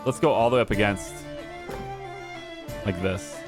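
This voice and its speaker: Hoarse low-voiced man muttering through a game. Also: unhurried. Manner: thinking aloud, muttering to himself and the viewers. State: hoarse, losing his voice. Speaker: gaming YouTuber. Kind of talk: casual let's-play commentary. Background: game music.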